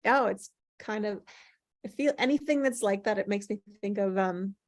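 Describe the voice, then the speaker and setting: A woman's voice: medium pitch, moderate pace. A woman hosting an online table read in casual, slightly scattered chat over a video call.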